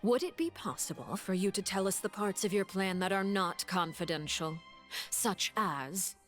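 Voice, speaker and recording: A woman's voice: medium pitch, expressive, normal speed, soft background music, lightly acted. An actress playing a coolly polite noblewoman interrogator in a game, formal and silky with veiled mockery. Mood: probing. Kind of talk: anime-style game voice acting.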